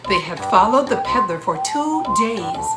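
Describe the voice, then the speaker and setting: Woman reading a storybook slowly over music. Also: mid-range voice, very animated. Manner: measured, deliberate phrasing. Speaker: author reading a book. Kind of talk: children's storybook narration.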